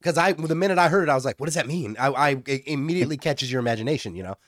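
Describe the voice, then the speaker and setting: A man's voice: a little high, expressive, rapid-fire, no room sound, clean studio sound. A man co-hosting a podcast, talking in a laid-back, casual way with mild cursing. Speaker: podcast co-host.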